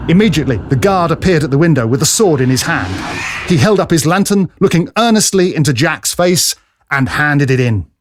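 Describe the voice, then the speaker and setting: A man's voice: fairly high pitch, animated, fairly quick. A man giving a dramatic scripted narration of a period adventure story. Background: music.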